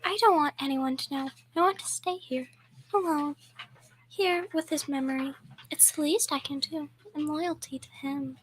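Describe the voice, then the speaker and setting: A woman's voice: high voice, moderate pace, close to an everyday voice, low-quality recording. A woman playing a grieving, reclusive war widow in an amateur old-time radio drama, speaking formally and earnestly with some hesitation, over background noise. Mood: wounded, intense.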